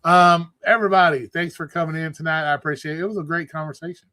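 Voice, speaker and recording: A man with a slightly higher voice hosts a loose online chat, stuffy and congested as if coming down with a cold. He swears freely and gets defensive when challenged. The sound is close and dry. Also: steady tone, quick pace, bright, forceful tone, no room sound. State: congested, losing his voice. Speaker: host. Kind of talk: casual livestream chat.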